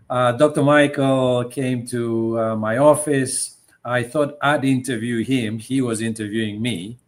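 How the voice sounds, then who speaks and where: Middle-aged man. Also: medium pitch. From Greece. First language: Greek. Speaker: guest, leadership coach. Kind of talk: conversational interview storytelling.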